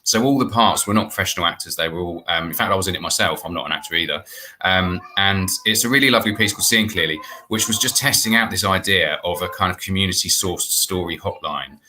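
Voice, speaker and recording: A man's voice: sits low, fast, pushed and bright, slight background noise. A man in his forties speaking informally as a guest on a video call, explaining a project. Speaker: guest, artistic director and playwright. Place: indoor room at home, on a video call.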